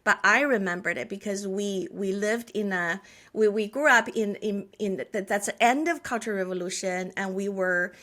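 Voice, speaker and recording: A woman's voice: medium pitch, normal speed, a bit bright. A woman in her forties from China with a Chinese accent, a guest telling her life story in an interview, earnest and full of restarts. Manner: frequent restarts and filler words, earnest storytelling. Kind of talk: conversational interview.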